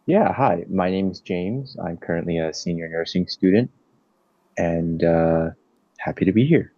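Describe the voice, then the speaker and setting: A young man with a very low voice answers interview questions in a halting, casual way, stopping often to think. The sound is dry and a little dull, like a lo-fi recording. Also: dark tone, no room sound. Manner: hesitant, many fillers and restarts. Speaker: interviewee, nursing student.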